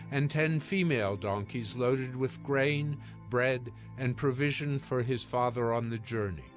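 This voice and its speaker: A man with a medium-pitched voice narrates scripture from a script. His delivery is measured and storylike, with soft music underneath. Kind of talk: scripted dramatized Bible narration. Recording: music behind the voice.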